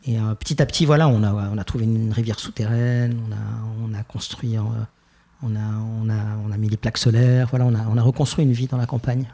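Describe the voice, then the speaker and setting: A young Swiss French man from Geneva, telling his life story as a podcast guest, thoughtful and hesitant with many pauses and restarts. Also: medium pitch, steady tone, dark tone. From Geneva, Switzerland. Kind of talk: reflective personal interview.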